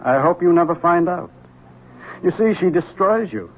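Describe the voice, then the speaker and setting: An actor playing a bitter, obsessed husband in a 1970s radio drama, sardonic and intense, on a tinny recording. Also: male voice, high-pitched, expressive, normal speed, a little hiss or hum. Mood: brooding. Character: obsessed, bitter husband convinced his wife is evil.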